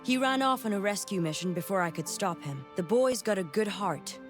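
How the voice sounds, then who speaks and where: Woman as stern warrior mother, medium pitch, firm, dryly comic, game cutscene, faint music. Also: expressive, brisk, close to an everyday voice. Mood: worried. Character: tough, stern village defender and worried mother. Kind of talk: animated family adventure game acting.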